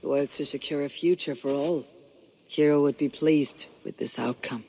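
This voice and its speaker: An actor playing a steady, resolute male Viking clan leader, speaking in measured, solemn tones in a game cutscene. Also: fairly high pitch, low-quality recording. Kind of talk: video game cutscene acting.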